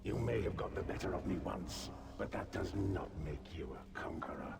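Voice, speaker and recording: An actor playing a proud, scornful god in a fantasy game, with a haughty, taunting delivery. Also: male voice, low-pitched, animated, moderate pace, vocal fry, recorded from a distance, heavily acted. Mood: defiant. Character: proud, scornful male god, theatrical and defiant. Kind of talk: scripted fantasy game dialogue.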